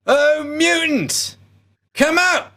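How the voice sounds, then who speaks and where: A man's voice: very high voice, very slow, sharp tone, heavily acted. A man playing a scornful, trigger-happy lieutenant, commanding and sneering in a theatrical radio play reading. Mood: tense, shouting. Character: scornful, trigger-happy military lieutenant barking orders at patrol. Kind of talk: radio play cold read.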